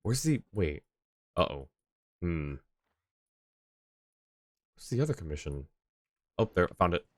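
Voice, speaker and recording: A low male voice, recorded close and dry, talks casually on a game livestream. He rambles along, thinking aloud. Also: very animated, slow pace, long pauses, dry recording. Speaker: live game streamer.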